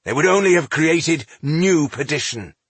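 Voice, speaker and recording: Man voicing a haughty, callous dwarf warlord, pitched up. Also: lively intonation, moderate pace, a bit bright, husky, no echo. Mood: defiant. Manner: haughty, dismissive. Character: proud, callous red-browed male dwarf warlord, self-appointed High King.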